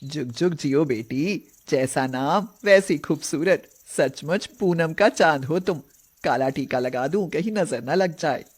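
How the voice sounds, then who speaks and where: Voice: mid-range voice, measured pace, slightly rough. An actor playing an older mother-in-law in a family moral story, doting with blessings and sassy in retorts.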